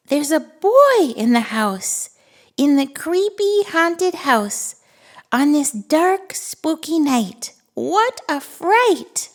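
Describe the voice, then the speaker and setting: A woman voicing a friendly, excitable little monster who reads picture books to toddlers with gleeful wonder. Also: sits high, full character voice. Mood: playfully spooky. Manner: gleeful, giggly, breathless wonder, drawn-out words. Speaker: children's read-aloud host. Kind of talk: animated picture-book read-aloud for toddlers.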